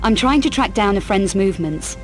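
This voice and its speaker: A woman's voice: mid-range voice, lively intonation, brisk, soft background music, lightly acted. A woman playing a tough, self-assured adventurer in a video game, with a terse, dry, unflappable delivery. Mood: inquisitive. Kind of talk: video game cutscene acting.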